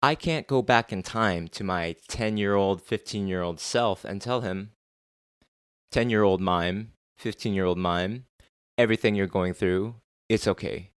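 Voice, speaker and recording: A man's voice: deep voice, no room sound, clean studio sound. A young adult man hosting a solo podcast, talking about his life in a candid, earnest way and swearing now and then. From United States. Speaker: podcast host. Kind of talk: solo reflective podcast monologue.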